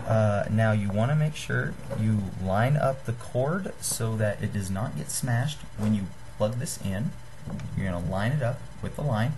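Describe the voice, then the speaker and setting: A young man giving a casual how-to demonstration to a webcam in a small room, halting and apologetic. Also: lowish voice, vocal fry, background noise. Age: young adult. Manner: halting, apologetic, self-deprecating. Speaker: hobbyist giving a homemade gadget tutorial. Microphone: webcam or computer microphone at desk distance.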